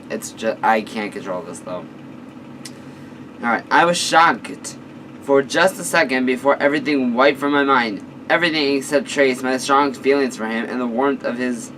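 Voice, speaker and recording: A hoarse, raspy-voiced man reads a story aloud at an ordinary middle pitch, often tripping and restarting his phrases. He sits a little away from the mic, and a faint air-conditioning hum runs underneath. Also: fairly bright tone, far from the mic, poor audio. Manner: halting, frequent restarts. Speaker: story reader. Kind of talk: reading fan fiction aloud.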